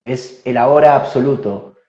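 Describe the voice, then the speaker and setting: A man in his forties, a spiritual teacher, explains ideas slowly and reflectively, thinking aloud with fillers and tag questions. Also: mid-range voice, mellow. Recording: roomy, dull lo-fi sound.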